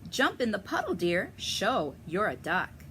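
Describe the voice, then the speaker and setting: A woman voicing a brisk, bossy storybook mother duck who gives emphatic orders and quacks. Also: medium pitch, very animated, sharp tone, a little hiss or hum. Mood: coaxing.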